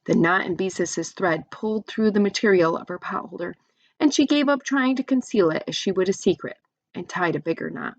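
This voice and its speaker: A woman's voice: on the low side, fairly quick, no room sound. A woman reading a children's story aloud expressively, with chatty asides to young listeners.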